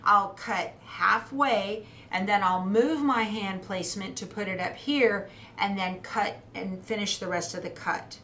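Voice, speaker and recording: A middle-aged woman talks through a hands-on craft demonstration in a methodical, instructional way. Her voice sits at an average pitch for a woman. The indoor studio sounds roomy, with a faint to moderate layer of background noise. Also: a little slow, hardly any pauses, bright, forceful tone. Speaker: quilting instructor. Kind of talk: hands-on demonstration, explaining step by step.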